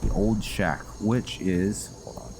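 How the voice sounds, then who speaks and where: Low-voiced man, unhurried gaming commentary. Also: background noise. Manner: rambling, halting, thinking aloud. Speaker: gaming video host. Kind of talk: casual gameplay commentary with roleplay. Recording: music behind the voice. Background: game music.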